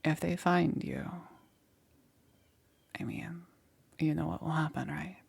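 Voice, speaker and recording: A woman voicing a caring, gently persuasive witch in a hushed, breathy ASMR roleplay. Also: low-pitched, slow pace, full character voice. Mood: coaxing, knowing. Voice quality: breathy, whispery. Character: soft, coaxing witch, a caring self-confessed monster.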